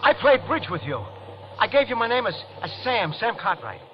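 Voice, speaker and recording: A man's voice: very high voice, very animated, normal speed, over loud music. An American man acting a desperate accused husband in an old radio drama, agitated, stammering and pleading over his alibi. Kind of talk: 1940s-50s radio drama acting and narration. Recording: muffled vintage radio recording.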